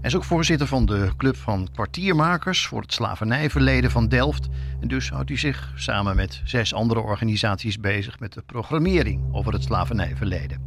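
A man's voice: medium pitch, background noise. A man narrating a scripted documentary podcast as its reporter.

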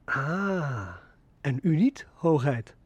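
Higher-voiced wary male trader, dry sound. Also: lively intonation, very slow, slightly rough, dry recording, lo-fi sound, full character voice. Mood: wary, deferential. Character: wary slave-ship trader, deferential yet indignant to his prince. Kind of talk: audio drama acting.

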